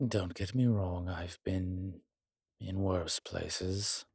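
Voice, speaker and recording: Man acting as suave male demon, very slow delivery, husky and breathy, wry, teasing, polished, intimate audio drama. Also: sits low, fairly even intonation, darkish tone, dry recording, clean studio sound, full character voice. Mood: teasing, dry.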